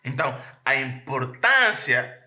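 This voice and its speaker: A man's voice: mid-range voice, sing-song, takes it slowly, bright, forceful tone, roomy sound. A male pastor preaching a sermon, emphatic and often repeating words as he searches for the next phrase.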